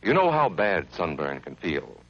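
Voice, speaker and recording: A man's voice: sits low, wildly swooping pitch, normal speed, no echo, highs cut off. A man narrating an educational film for children in an earnest, friendly, instructive way, from an old 1950s soundtrack.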